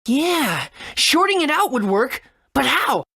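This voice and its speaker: A woman voicing an earnest, excitable young boy adventurer in a video game. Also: high voice, takes it slowly, vocal fry, heavily acted. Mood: eager.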